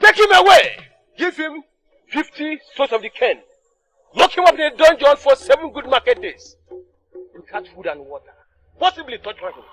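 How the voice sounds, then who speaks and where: A proud, enraged king who barks his orders in a high, piercing voice. His pitch leaps wildly from line to line, and the tone stays bright and sharp. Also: very animated, a little fast, soft background music, exaggerated voice, low-quality recording. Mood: commanding. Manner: shouting, imperious, outraged. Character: furious proud male king, commanding.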